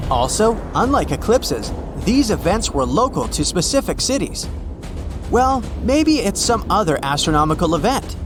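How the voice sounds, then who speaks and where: A man narrating a scripted explainer in a conversational way. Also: sits high. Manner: conversational, rhetorical questions.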